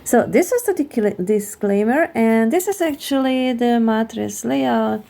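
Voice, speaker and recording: A Hungarian-accented woman, a native Hungarian speaker, explaining a card reading, pausing often as she searches for her words. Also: fairly high pitch, expressive, creaky, warm tone. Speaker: tarot card reader.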